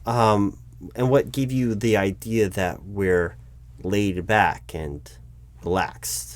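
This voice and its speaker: A man's voice: lowish voice, steady tone, slow pace, slight background noise. A man playing a recruiting manager in an ASMR job interview roleplay, patient and a bit exasperated, with many ums and restarts. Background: keyboard typing.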